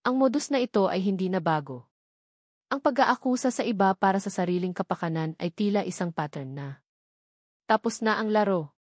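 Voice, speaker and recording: A courteous, resolute policeman in an audio drama, played by a woman narrator. He lays things out respectfully and firmly, with a steady authority, recorded dry and close. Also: medium pitch, normal speed, dry recording, close to an everyday voice. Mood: firm, accusing. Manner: respectful but firm. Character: polite, steadfast policeman exposing a culprit.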